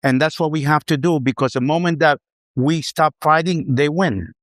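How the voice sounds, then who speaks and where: Determined middle-aged professor, dry close voice. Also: male voice, fairly high pitch, normal speed, no room sound. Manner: determined, defiant, repeats phrases for emphasis. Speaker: professor, panelist. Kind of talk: round table remarks.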